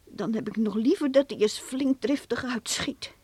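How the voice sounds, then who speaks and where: An actress playing an anxious, devoted wife in mourning, sighing and hesitant, in a Dutch radio play. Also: female voice, fairly high pitch, moderate pace, darkish tone, husky, no echo. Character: worried, grieving, devoted wife and mother. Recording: noisy old radio play recording.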